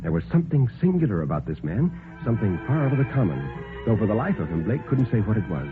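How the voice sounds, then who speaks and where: A man narrating a scripted 1950s radio horror story in a dramatic, deliberate manner. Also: on the low side, big pitch swings. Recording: tinny, narrow-band 1950s radio recording. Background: background music.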